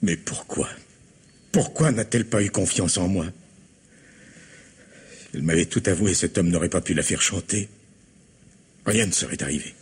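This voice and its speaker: An actor playing an earnest, bereaved middle-aged husband in a vintage French radio drama, grave, halting and formal. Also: male voice, sits low, lightly acted. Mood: stunned, grieving. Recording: faintly noisy old radio recording.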